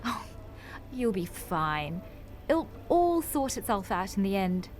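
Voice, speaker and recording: An actress playing a kindly, brisk and slightly awkward café waitress in a radio soap. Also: female voice, mid-range voice, sing-song.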